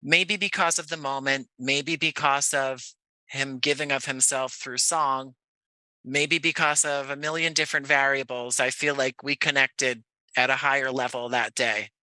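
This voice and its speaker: A man's voice: medium pitch, fairly even intonation, measured pace, bright, forceful tone, no room sound, rough recording. An American man from Jersey City talking reflectively as an interview guest, in a conversational, wordy way that often doubles back on itself. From Jersey City, United States. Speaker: podcast guest, audio playwright.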